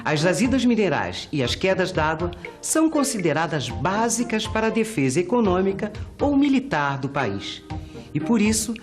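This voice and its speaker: A narrator reading scripted historical narration in the present tense. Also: mid-range voice. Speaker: documentary narrator.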